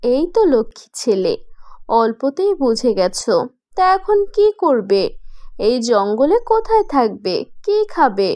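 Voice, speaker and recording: A woman's voice: a little high, sing-song, a little slow, darkish tone. A woman voicing a teasing, bossy but kindly young female ghost in a horror cartoon, given to mocking cackles. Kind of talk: animated horror cartoon voice acting.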